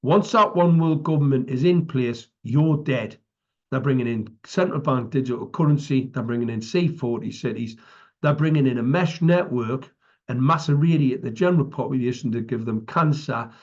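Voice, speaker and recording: A man's voice: mid-range voice, moderate pace, darkish tone. A man with a British accent giving an impromptu public address as an activist, emphatic and preaching, often checking in with short tags. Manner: emphatic, preaching, tags statements with "right" and "okay". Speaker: activist speaker. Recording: dull lo-fi audio.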